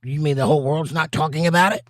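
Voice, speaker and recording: A slightly hoarse, scratchy-voiced man hosts a laid-back livestream, reading chat messages aloud and riffing on them with goofy asides. His voice is dry and close, with no room around it. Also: fairly high pitch, steady tone, fast, no echo. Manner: jokey, reads viewer comments aloud, breaks into silly bits.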